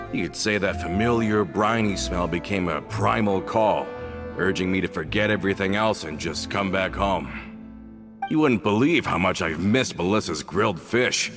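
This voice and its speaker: An actor playing a tough, loyal gang member back from prison, informal and emotionally expressive, in a video game. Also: male voice, fairly low pitch, normal speed, music in the background, close to an everyday voice. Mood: relieved, chatty. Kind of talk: video game dialogue acting.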